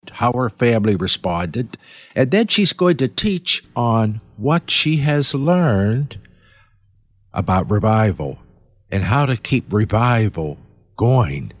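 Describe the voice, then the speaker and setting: A man's voice: medium pitch, no echo. A man hosting a casual interview, chatty and chuckling as he introduces and questions his guest. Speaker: host.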